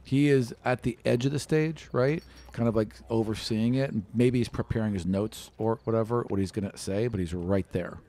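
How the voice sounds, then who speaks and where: A man's voice: fairly low pitch, moderate pace, hardly any pauses, warm tone. A man narrating a tabletop role-playing game as game master, improvising descriptions in a conversational way.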